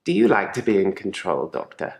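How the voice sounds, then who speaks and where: An actor playing a controlled, quietly menacing therapy patient in a game drama, speaking in a measured, deliberate and politely probing way. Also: male voice, fairly low pitch, huge pitch swings, vocal fry, reverberant, heavily acted. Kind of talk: live-action game drama monologue.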